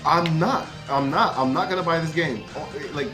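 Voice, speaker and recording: Blunt, opinionated man, slightly high voice. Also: fairly quick, over music. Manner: opinionated, blunt, repetitive, swearing. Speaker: podcast panelist. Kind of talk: casual gaming debate.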